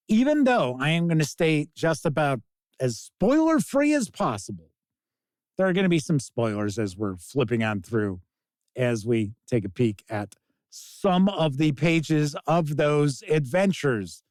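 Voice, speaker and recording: A man presenting a casual tabletop game review as host, speaking in deliberate, emphatic phrases. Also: a little high, a little mellow, dry recording. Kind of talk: casual scripted-outline product review to camera.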